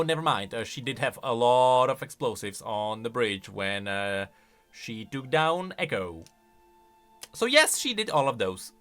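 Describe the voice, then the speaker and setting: A man giving unscripted reaction commentary on game voice lines in an animated, exclamatory way. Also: mid-range voice. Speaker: YouTube commentator.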